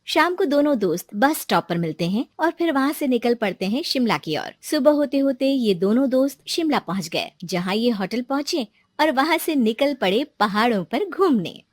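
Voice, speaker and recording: A woman's voice: a little high, sing-song, normal speed, no room sound, studio quality. A woman narrating a scripted moral story in storybook style.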